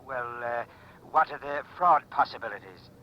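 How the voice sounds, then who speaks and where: An actor playing a fretful, cost-minded insurance executive in vintage radio drama, hesitating over a telephone line. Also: male voice, medium pitch, moderate pace. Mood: worried, hesitant. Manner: hesitant, hemming. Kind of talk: 1940s-50s radio drama acting. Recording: dull, noisy vintage radio phone voice.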